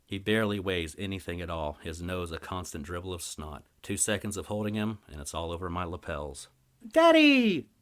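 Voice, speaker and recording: Casting: male audiobook narrator, low register, first-person fantasy fiction. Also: brisk, few pauses. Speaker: story reader.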